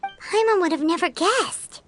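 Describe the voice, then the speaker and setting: A woman voicing a tiny, childlike female fairy companion in a video game, squeaky and naive. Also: expressive, unhurried, faint music behind. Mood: curious. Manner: speaks of herself in third person. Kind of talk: video game cartoonish voice acting.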